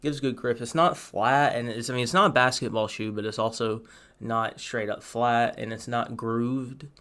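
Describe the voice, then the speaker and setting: A man's voice: medium pitch, fairly even intonation, moderate pace, bright, forceful tone. A young man chatting casually through a sneaker review, rambling with asides and filler words. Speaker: sneaker vlogger. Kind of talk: casual product review to camera.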